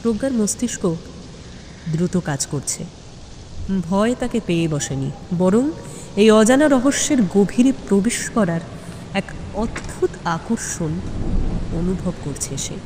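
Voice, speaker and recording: A woman narrates a suspense story dramatically, with deliberate phrasing and weighty pauses. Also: medium pitch, expressive, mellow, slight background noise. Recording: long hall-like reverb on voice.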